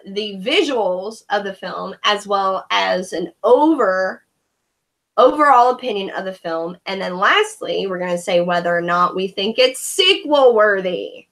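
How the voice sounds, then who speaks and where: A woman's voice: mid-range voice, a bit bright. A woman from Korea hosting a casual video-call movie review, chatty and sarcastic. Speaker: host.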